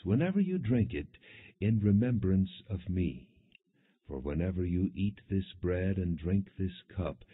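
Deep husky man reading scripture. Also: no room sound. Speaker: audiobook narrator. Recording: dry, narrow phone-like sound.

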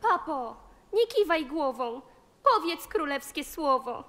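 A spoiled young princess wheedles in rhyme in a fairy-tale audio play. The voice is very high, bright and sharp. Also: female voice, animated, slow pace, faint music behind, exaggerated voice. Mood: coaxing.

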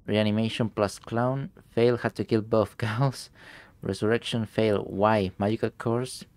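Sick young guy playing a game, low gravelly voice, reads notes out slow with lots of uhs, english not his first language. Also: fairly even intonation, a little mellow, dry recording. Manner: reads in-game notes aloud haltingly, many fillers. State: sick, voice deeper than usual. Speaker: gameplay streamer. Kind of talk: let's play commentary.

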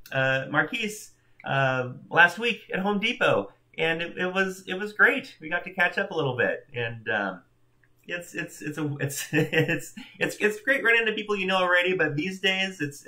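A man in his thirties warmly rambles through greetings to online churchgoers, laughing between names. His voice is bright and a little high. He speaks to a webcam from a room at home. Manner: chatty, upbeat, greeting viewers by name with laughs. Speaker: church livestream host. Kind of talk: casual welcome chat. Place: home room in front of a backdrop.

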